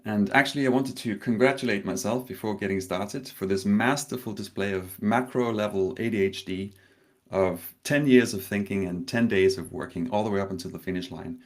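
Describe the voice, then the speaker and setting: A man from Denmark speaks in a slightly low voice, giving a live talk over a video call. He tells a personal story carefully, with pauses and a hint of nerves. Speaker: conference speaker. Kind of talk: live online conference talk.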